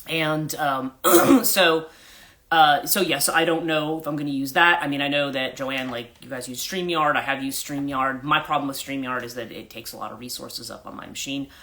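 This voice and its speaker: A woman chats casually to her livestream viewers, greeting them as they join. Her voice is husky and congested from allergies, with a bright, forward edge that cuts through. She rambles gently with many fillers and keeps clearing her throat. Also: moderate pace. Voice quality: husky, a register lower than usual. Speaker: life coach hosting a livestream. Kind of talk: casual morning livestream chat.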